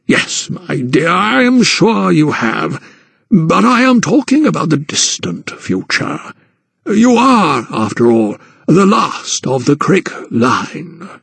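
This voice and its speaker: A man voicing a conniving older aristocratic gentleman who meddles as guardian, speaking slowly and patronizingly. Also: a little high, very animated, gravelly, breathy edge, no room sound, voice pushed up, exaggerated voice. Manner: slow, drawn-out, patronizing.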